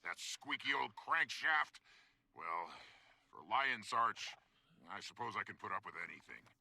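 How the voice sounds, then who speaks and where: Gruff game soldier creature: hot-tempered grumbler, heavy gravel, swinging delivery. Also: male voice, lowish voice, expressive, a little slow, no echo, full character voice. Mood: grudging, brisk. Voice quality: gravelly, raspy. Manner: blunt, grumbling, forceful. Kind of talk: video game voice acting.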